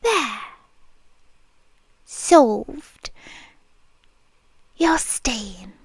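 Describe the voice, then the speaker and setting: British woman doing a possessive young nurse, breathy whispers, unhurried teasing, dead-dry close mic. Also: fairly high pitch, a little mellow, no echo, heavily acted. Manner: slow, teasing, sweetly controlling. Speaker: ASMR roleplay performer. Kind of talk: F4M ASMR roleplay. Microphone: close mic, speaking into the ear.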